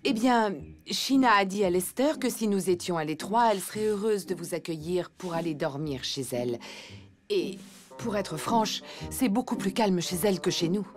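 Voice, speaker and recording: Actress as a mid-pitched, caring cartoon mum. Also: female voice, animated, moderate pace, soft background music, natural voice. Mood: diplomatic. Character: caring, sensible elephant mother. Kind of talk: French cartoon dubbing.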